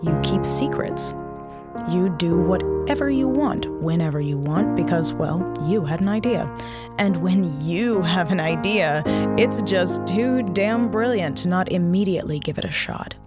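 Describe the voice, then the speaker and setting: A woman's voice: on the low side, animated, measured pace, loud music behind the voice. A woman playing a hard-edged, wounded space officer in an audio drama, speaking with sarcastic, confrontational emphasis. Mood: accusing.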